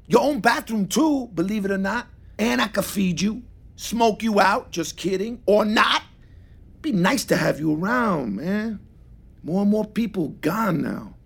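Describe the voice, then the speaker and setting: A generous, rough-edged shopkeeper in a fiction podcast, a man. His husky, gravelly voice swings up and down freely. He speaks in easy, reassuring slang. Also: high-pitched, animated, unhurried, exaggerated voice. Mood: casual, reassuring. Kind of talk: naturalistic audio drama dialogue.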